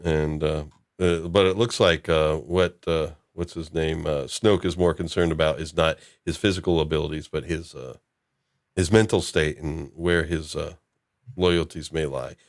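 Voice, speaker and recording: A man hosting a casual discussion, recapping in a halting, loosely improvised way. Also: rumbling low, fairly even intonation, no echo, studio quality. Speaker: host of a discussion show.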